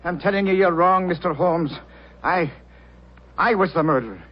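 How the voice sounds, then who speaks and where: Impassioned, fairly high-voiced male lover. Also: normal speed. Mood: defiant, impassioned. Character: proud, protective lover, a suspected murderer. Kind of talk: 1940s radio melodrama acting. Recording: dull old 1940s radio recording.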